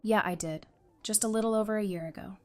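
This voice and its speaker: A woman's voice: medium pitch, fast, natural voice. An actress playing a resilient woman survivor in an audio drama, with a mid-range voice. She talks frankly and has a teasing streak. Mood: subdued, resolute. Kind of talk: fan audio drama dialogue.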